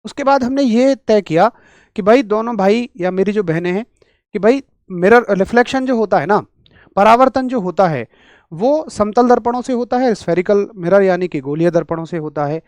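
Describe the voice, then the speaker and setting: A male teacher with a rural Hindi accent and a sore throat, giving an energetic, chatty online physics class straight to his students. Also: high-pitched, normal speed, no echo.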